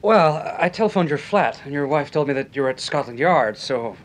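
A man's voice: lively intonation, moderate pace. Brash, swooping nightclub tough guy. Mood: uneasy, hedging. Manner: slangy, blunt. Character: brash, tough-talking male nightclub owner. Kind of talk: old radio detective serial acting. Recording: vintage radio recording with rumbling background noise.

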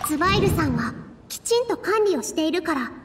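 An actress playing an earnest, soft-spoken young woman dancer who argues politely and in measured phrases in an anime game. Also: very high voice, very animated, noisy background. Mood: calm, firm.

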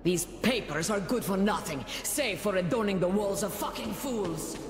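A man's voice: sits high, lively intonation, normal speed. A man voicing an irate, domineering trader in a video game, scolding and contemptuous. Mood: angry, scornful. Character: irate, domineering male trader, scornful and foul-mouthed. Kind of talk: dramatic video game dialogue. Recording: echoey hall, faint noise.

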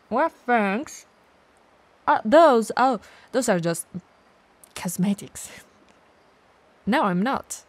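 A woman's voice: a little high, dry recording, full character voice. A woman playing a playful, clumsy, bloodthirsty female vampire who mutters theatrically to herself in an ASMR audio roleplay. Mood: flustered.

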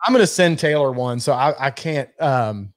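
A man's voice: medium pitch, animated, normal speed, no room sound, clean studio sound. A man in his forties hosting a live whiskey stream, joking, swearing and reading viewer messages aloud. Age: 40s. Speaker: host. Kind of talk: casual live-stream chat. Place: home bar room with shelves of bottles.

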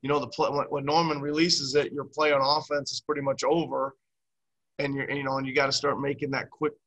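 Flat-voiced coach on a video call. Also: male voice, mid-range voice, a little fast, rough recording. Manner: rambling, repeats words and restarts. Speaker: basketball head coach. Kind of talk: post-game press conference. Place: small room before a team backdrop. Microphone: video call webcam.

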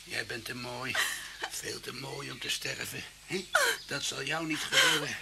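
An actor playing a deranged, jealous armed kidnapper in an old radio play, speaking in agitated, halting, repetitive bursts. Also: male voice, medium pitch, creaky, pushed and bright, full character voice. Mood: obsessive, bitter. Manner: agitated, halting, repeating himself. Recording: noisy old radio drama recording.

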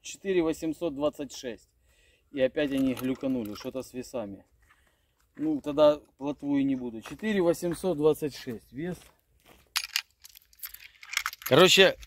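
A man's voice: on the high side, a little slow, long pauses, a bit bright. A middle-aged man vlogging his fishing trip outdoors by a river, talking casually and muttering to himself. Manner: chatty, stumbling, mutters to himself. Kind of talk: casual vlog narration. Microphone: phone held at arm's length.

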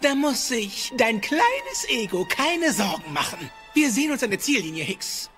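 A man's voice: extremely high pitch, sing-song, a little slow, pushed and bright, faint music behind, exaggerated voice. An actor playing a cocky, boastful teenage Viking boy in a cartoon audio drama. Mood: cocky taunting.

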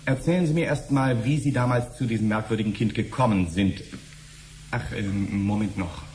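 An actor playing a fussy, officious middle-aged bureaucrat in a radio drama, pausing and hesitating as he questions. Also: fairly low pitch, darkish tone, lightly acted. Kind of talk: 1970s German radio drama acting. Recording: slightly noisy old radio drama recording.

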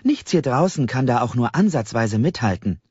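A man's voice: mid-range voice, expressive, normal speed, darkish tone, slightly rough, no echo, lo-fi sound, pitched above the performer's own voice. A man voicing a polite but opinionated young athletic woman in an audiobook, speaking emphatically. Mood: disapproving.

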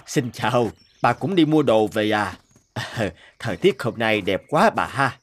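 A man's voice: fairly low pitch, animated, unhurried, a little hiss or hum. Puzzled, friendly old cartoon man. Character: bewildered, friendly old man living alone.

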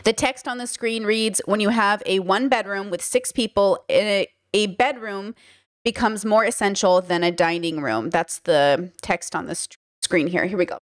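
A woman's voice: mid-range voice, fairly even intonation, moderate pace, no echo. A young woman from Southern California giving casual reaction commentary, thinking aloud at a studio microphone in a small bedroom. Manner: chatty, thinks aloud, gestures a lot. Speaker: commentary YouTuber. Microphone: studio mic on boom arm.

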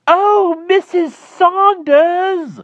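A shrill, frantic eccentric man. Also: extremely slow, no echo, dull audio, heavily acted. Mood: frantic shouting. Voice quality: high and shrill with excitement. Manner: urgent, pleading, breathless. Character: excitable peculiar man with shrill voice.

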